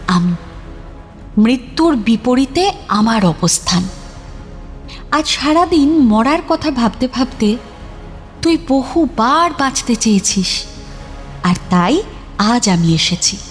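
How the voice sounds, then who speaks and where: An actress playing a mysterious young woman who speaks intimately and persuasively in an audio drama. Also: fairly high pitch. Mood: assured, earnest. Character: mysterious young woman, embodiment of a hidden will to live.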